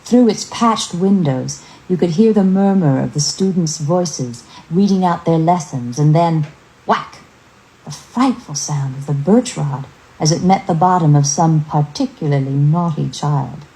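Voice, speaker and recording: A woman reading a scripted story as narrator, with measured, expressive dramatic delivery. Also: sits low, poor audio.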